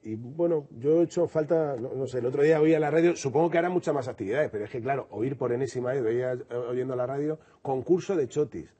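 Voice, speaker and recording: A singer-songwriter from Madrid with a Madrid Spanish accent, talking reflectively in an interview and often restarting and repeating words. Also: male voice, mid-range voice, fast talker, few pauses, warm tone, breathy edge. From Madrid, Spain. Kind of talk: relaxed interview conversation.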